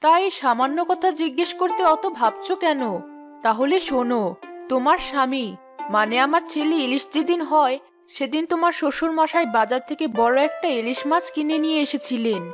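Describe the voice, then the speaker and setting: A woman playing a kindly older mother-in-law who gently chides and advises in a Bengali cartoon. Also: high voice, normal speed, over music, lightly acted. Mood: fond, chatty.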